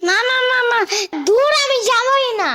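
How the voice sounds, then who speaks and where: A cartoon performer voicing a small, cheeky, excitable child with whiny, impatient retorts. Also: very high voice, a little slow, exaggerated voice. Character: small cheeky excitable child, easily frightened but stubborn. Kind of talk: cartoon dialogue.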